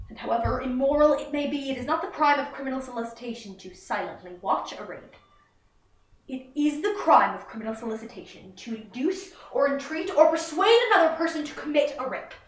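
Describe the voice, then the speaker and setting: A young woman playing an impassioned, indignant prosecutor who addresses a jury deliberately and rhetorically in a dramatic monologue. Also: a little high, fairly bright tone. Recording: roomy, echoing, far from the mic.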